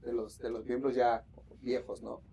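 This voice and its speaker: A man's voice: mid-range voice, flat delivery, moderate pace, vocal fry, dark tone, a little hiss or hum. A man hosting a casual interview in a small office studio, asking questions in a jokey, teasing way. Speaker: interview host.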